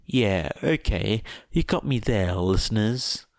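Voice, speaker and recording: A man voicing an excitable male teacher in a story, informal and eager to placate. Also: on the low side, takes it slowly, no room sound, clean studio sound. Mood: placating.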